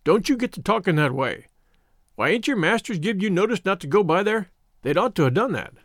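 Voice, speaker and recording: A man's voice: sits high, sing-song, brisk, a bit bright, no room sound, clean studio sound, exaggerated voice. A man voicing a grave, superstitious old shepherd with rustic English dialect, in a storybook reading. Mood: grave warning. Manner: dialect speech, solemn warnings.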